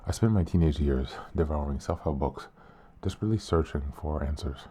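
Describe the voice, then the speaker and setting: A man with an extremely low, dark and mellow voice reads aloud for his self-help podcast. He is a bit sick and slightly hoarse, and keeps a calm, earnest, unhurried delivery. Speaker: podcast host. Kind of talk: reflective podcast reading.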